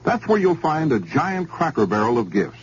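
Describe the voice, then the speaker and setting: A man's voice: on the high side, sing-song, normal speed, slightly rough. A man reading a radio commercial with upbeat salesman patter. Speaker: commercial announcer. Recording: dull old radio broadcast.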